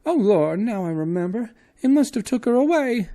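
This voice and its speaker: A man voicing a terrified, sobbing woman servant in a shrill, wailing falsetto. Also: very animated, normal speed, mellow. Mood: wailing terror.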